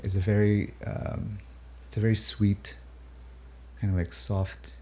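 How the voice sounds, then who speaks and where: A man from Pakistan and the UAE, native Urdu speaker, talking thoughtfully about his music in a halting, filler-filled way. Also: on the low side, level tone, a little mellow. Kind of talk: reflective interview talk.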